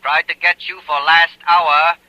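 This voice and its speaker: A matter-of-fact telegraph clerk reading a message aloud briskly. The voice is slightly high and very bright, recorded dry and close. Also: male voice, little pitch movement, dry recording, muffled recording. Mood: businesslike. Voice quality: brisk, matter-of-fact, reads dictated text. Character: businesslike male telegraph clerk. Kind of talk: 1940s radio drama acting.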